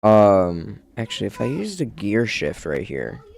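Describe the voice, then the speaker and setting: A man's voice: on the low side, wildly swooping pitch, measured pace, creaky, darkish tone. A male streamer chatting casually while building in a game, muttering to himself and calling his viewers "bro".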